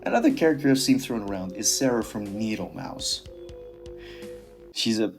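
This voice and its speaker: A man's voice: fairly low pitch, lively intonation, moderate pace, creaky, soft background music. A man narrating a casual scripted commentary in a sardonic, dismissive, casually profane way. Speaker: video creator narrating a commentary.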